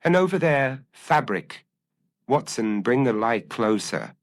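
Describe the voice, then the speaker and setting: A man voicing a brilliant, commanding male detective with calm, incisive, formal deductions in an audio mystery story. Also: medium pitch, slow pace, pitched above the performer's own voice. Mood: commanding, alert. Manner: crisp, formal, declarative deductions.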